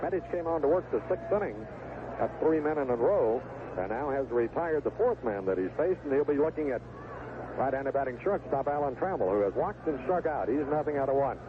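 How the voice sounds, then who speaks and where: A man's voice: medium pitch, expressive, brisk. Tinny vintage radio baseball announcer, male. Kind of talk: live radio sports commentary. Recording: noisy, tinny old AM radio broadcast.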